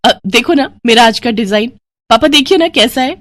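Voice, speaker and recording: Woman acting a higher-voiced sweet young daughter. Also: animated, moderate pace, fairly bright tone, no echo, studio quality. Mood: cheerful, coaxing.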